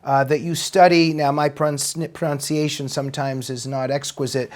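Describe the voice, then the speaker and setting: A man hosting an interview, asking questions in a halting way and restarting often. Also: medium pitch, level tone. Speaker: host. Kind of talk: interview host.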